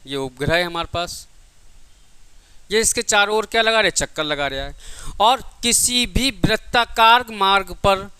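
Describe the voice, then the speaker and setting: A man's voice: high-pitched, bright, forceful tone, clear, resonant tone, no echo. A man giving a physics coaching lecture, repeating key points and asking questions he answers himself. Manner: addresses students affectionately as child. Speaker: physics teacher. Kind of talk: exam coaching lecture, working through derivations.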